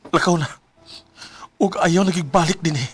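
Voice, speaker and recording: A man's voice: a little high, normal speed, close to an everyday voice. An actor playing a grieving, emotional young man in a radio drama. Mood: bitter, angry. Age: young adult. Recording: music behind the voice.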